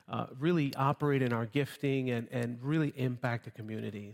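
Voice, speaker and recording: Echoey church hall, male preacher, late forties, from Honduras, mid-pitched voice, conversational and halting, informal sermon. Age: late 40s. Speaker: visiting preacher. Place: large church room, preaching to congregation. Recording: echoey hall, long reverb.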